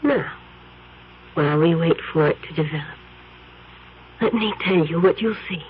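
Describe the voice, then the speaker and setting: A woman's voice: low-pitched, normal speed, slight background noise, close to an everyday voice. An actress playing a seductive, scheming witch in a 1970s radio drama, purring and teasing with menace. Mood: menacing. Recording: dull 1970s radio broadcast.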